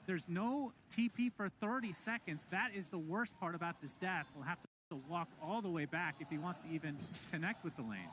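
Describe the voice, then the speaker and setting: A man casting a video game match play by play, quick and excitable in his calls. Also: high voice, slight background noise. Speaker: play-by-play caster. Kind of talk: esports play-by-play commentary. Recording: broadcast commentary over loud game music.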